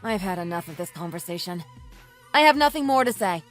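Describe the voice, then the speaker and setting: A woman's voice: lowish voice, expressive, brisk, faint music behind, natural voice. A woman playing an angry, resolute wife in a radio drama, with a firm and scornful manner. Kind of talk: dramatized story dialogue.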